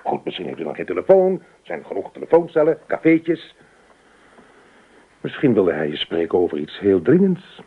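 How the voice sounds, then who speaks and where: An actor playing a jealous, suspicious husband in a radio play, speaking in a sarcastic, nagging, accusatory way. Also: male voice, on the high side, breathy edge, narrow, tinny audio, exaggerated voice. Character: jealous, suspicious husband, mocking, mother-devoted, easily panicked. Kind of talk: radio play acting in dialogue.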